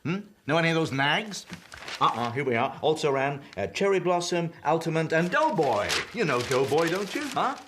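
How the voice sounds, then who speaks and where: An actor playing an elderly comedian, anxious and grieving at his friend's deathbed, halting and pleading in a naturalistic drama. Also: fairly high pitch, big pitch swings, noisy background. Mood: anxious, coaxing. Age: older. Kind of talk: radio-play style naturalistic drama.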